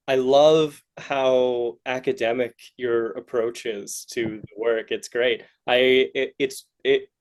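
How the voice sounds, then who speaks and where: A man hosting a casual interview, speaking haltingly and rephrasing his questions as he goes. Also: medium pitch. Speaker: podcast host, interviewer.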